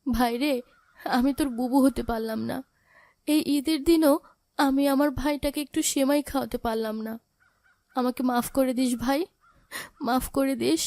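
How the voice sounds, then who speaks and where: Woman acting a high-voiced, plaintive orphaned big sister. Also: moderate pace, long pauses, mellow, no room sound. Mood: heartbroken. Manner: plaintive, pleading. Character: poor orphaned big sister, gentle, sorrowful, pleading. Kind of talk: dramatic cartoon voice acting.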